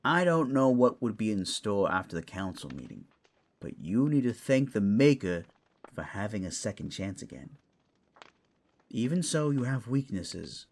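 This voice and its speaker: A man's voice: fairly low pitch, very animated, moderate pace, darkish tone. A man playing a wisecracking, fussy but caring raccoon mentor in a fantasy audio roleplay, casual and teasing. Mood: earnest warning.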